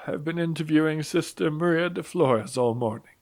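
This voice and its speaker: A solemn, courteous priest, played by a man, speaks in a fairly high male voice. He questions people politely, in the manner of a religious audio drama. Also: fairly quick, lightly acted. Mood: courteous, grave.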